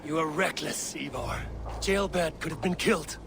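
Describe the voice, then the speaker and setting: An actor playing a stern, protective, battle-hardened Viking leader in a video game, blunt and commanding. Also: male voice, fairly high pitch, normal speed, gravelly, noisy background. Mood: reproving. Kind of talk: video game drama acting. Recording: music behind the voice.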